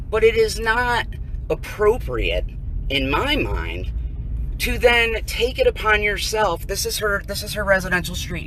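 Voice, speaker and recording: An American woman speaks in an emphatic, indignant live commentary. Her voice is bright and very animated in pitch. She is recorded inside a vehicle, with light ambient noise behind her. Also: poor audio. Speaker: citizen filming a protest from a vehicle. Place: inside a vehicle on a residential street.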